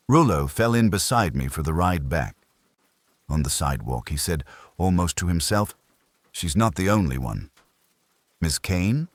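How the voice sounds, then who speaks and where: A man with a very low, husky voice narrates a detective story. His delivery is measured and dramatic, with an expressive rise and fall, and the sound is dry and close. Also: dry recording. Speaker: audiobook narrator. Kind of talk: scripted first-person detective story narration.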